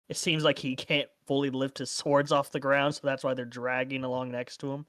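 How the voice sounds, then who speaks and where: A man's voice: mid-range voice, level tone, normal speed, a bit bright, clean tone, no room sound. Dry-recorded man describing tabletop game action. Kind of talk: narrating his character's actions.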